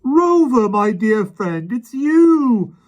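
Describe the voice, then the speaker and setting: A man doing a soft-hearted, crooning policeman. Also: medium pitch, sing-song, moderate pace, muffled recording, voice pushed up. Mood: overjoyed. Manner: tender, crooning, emotional. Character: gentle, limping, soft-hearted male policeman.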